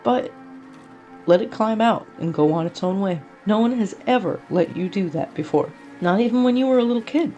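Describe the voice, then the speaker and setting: A woman voicing a patient, tender male angel with a coaxing, gently blunt manner in a story reading. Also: mid-range voice, animated, moderate pace, highs cut off, faint music behind, lightly acted. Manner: calls listener honey and darling, coaxing.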